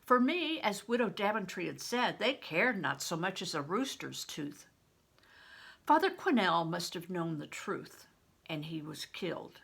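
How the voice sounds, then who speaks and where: An older woman reading a novel aloud as a storyteller, with expressive, deliberate delivery. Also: lowish voice, creaky, husky. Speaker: audiobook reader, a grandmother. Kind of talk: scripted story narration.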